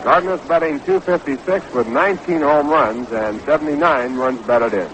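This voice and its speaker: A man doing measured, unhurried live baseball play-by-play commentary on a 1960s radio broadcast. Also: a little high, a bit bright, slight background noise, rough recording. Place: stadium broadcast booth.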